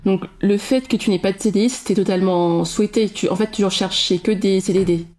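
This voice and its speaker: A woman's voice: mid-range voice, fairly quick, darkish tone. A woman in her thirties hosting a podcast, reading a scripted intro and then interviewing her guest in a friendly, enthusiastic way.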